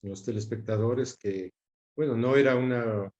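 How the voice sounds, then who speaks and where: A man in his sixties with a Quito, Ecuadorian accent, talking reflectively as an interview guest, measured, pausing and repeating words as he searches for them. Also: medium pitch, darkish tone, dull audio. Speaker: interview guest recalling his father's career.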